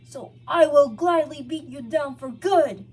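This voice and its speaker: A woman's voice: sits high, a little slow, a little mellow, far from the mic, faint music behind, voice pushed up. A woman voicing a battle-scarred male pangolin warrior, defiant and taunting, in a story reading.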